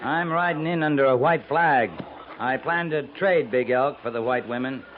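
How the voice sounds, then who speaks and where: An actor playing a firm, honourable cavalry captain in a 1950s radio western, clipped and authoritative. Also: male voice, mid-range voice, moderate pace, close to an everyday voice. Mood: businesslike. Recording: muffled noisy 1950s radio recording.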